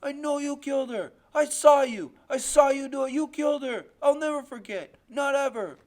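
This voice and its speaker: A man playing a desperate, high-pitched frightened boy. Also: big pitch swings, brisk. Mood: screaming accusation. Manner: pleading, shouted outbursts. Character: desperate, frightened boy confronting his murderous father. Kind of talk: audiobook character voice.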